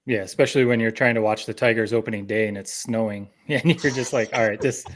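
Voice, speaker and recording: A man's voice: fairly low pitch, steady tone, fast talker. An American man co-hosting a podcast, chatting casually and taking his time to build up to questions. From mid-Michigan, United States. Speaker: podcast co-host. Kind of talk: casual interview chat.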